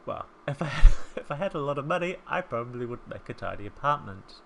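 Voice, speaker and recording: Chatty man with a soft New Zealand accent. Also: mid-range voice, lively intonation, fast, creaky, a little hiss or hum. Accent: soft New Zealand, softened by years in England. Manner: chatty, rambling, self-deprecating, with frequent ums and small laughs. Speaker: Sims speed-build creator. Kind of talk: casual voice-over commentary on a game build.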